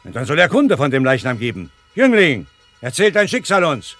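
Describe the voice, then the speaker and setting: An actor playing a grave, commanding noble warlord in a radio drama, solemn and declamatory. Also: male voice, a little high, big pitch swings, moderate pace, fairly bright tone, no echo, soft background music. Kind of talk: classic stage-like radio drama acting.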